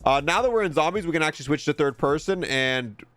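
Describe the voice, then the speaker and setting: A man's voice: fairly high pitch, lively intonation, fast, a bit bright, no echo. A man giving casual commentary as he reviews video game content. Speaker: gaming video creator reviewing a game bundle.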